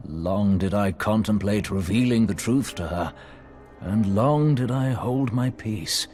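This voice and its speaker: A grieving game scholar, slow delivery, lowish voice, courtly archaic diction, solemn and formal, music underneath. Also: male voice, expressive, darkish tone. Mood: regretful. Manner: formal, deliberate, theatrical. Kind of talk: scripted video game drama acting, archaic diction.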